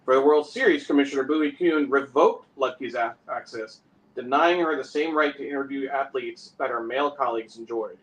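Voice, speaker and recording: Guy cohosting, middle pitch, casually explains stuff and reads bits out. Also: a little fast, a bit bright, low-quality recording. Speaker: co-host. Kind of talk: casual explaining and reading aloud.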